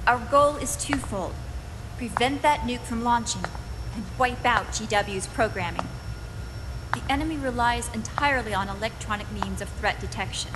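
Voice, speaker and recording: An actress playing a composed, authoritative female commander in a video game, briefing in a measured, businesslike way. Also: fairly high pitch, noisy background, close to an everyday voice. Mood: commanding, resolute. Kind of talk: video game cutscene acting. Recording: long echoing hall, music underneath. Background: music.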